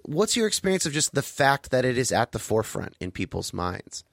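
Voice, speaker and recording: A man's voice: medium pitch, big pitch swings, vocal fry, no room sound, studio quality. A man hosting a podcast, talking earnestly and carefully as he introduces the episode and interviews a guest. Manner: earnest, measured, explanatory. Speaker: podcast host.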